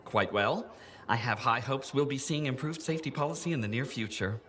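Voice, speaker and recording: An actor playing an earnest, fussy safety campaigner in a video game, with a grave, meticulous deadpan delivery. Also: male voice, medium pitch, fairly quick, close to an everyday voice. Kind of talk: video game dialogue acting.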